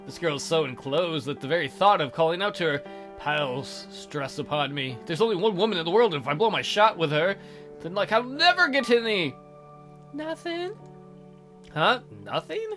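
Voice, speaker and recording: A man's voice: on the high side, animated, a little fast, fairly bright tone, soft background music. A man hosting a let's play, reading a visual novel aloud theatrically with goofy, crude joking commentary.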